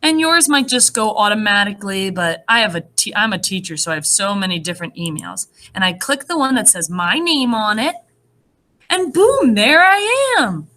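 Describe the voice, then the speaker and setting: A woman speaking as a first-grade teacher, reading and explaining to young children in an animated, encouraging way. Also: mid-range voice, normal speed. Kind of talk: read-aloud and lesson addressed to young children.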